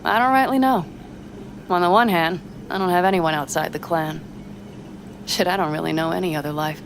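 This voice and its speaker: An actress playing a tough, hot-headed young nomad smuggler in a video game, with blunt, colloquial, sweary delivery. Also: female voice, fairly low pitch, brisk, close to an everyday voice. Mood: torn, reflective. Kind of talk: video game cutscene acting. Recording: clear ambient vehicle noise behind.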